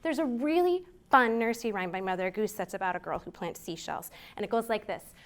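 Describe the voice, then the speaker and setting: An American woman hosting a children's storytime, reading aloud in an expressive, deliberate way for young listeners. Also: medium pitch. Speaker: library storytime host.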